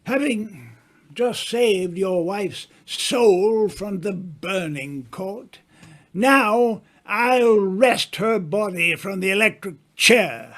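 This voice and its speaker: A man playing a worldly, self-assured older writer in an old-time radio mystery, speaking grandly with teasing, dramatic pauses. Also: high-pitched, lively intonation, fairly bright tone, exaggerated voice. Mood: grandly commanding.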